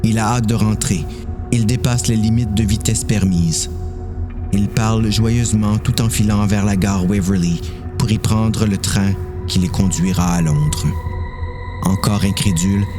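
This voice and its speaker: A Québécois man narrates a dramatized story from a script. His voice is low. Music runs clearly underneath the narration. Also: fairly even intonation, a little slow.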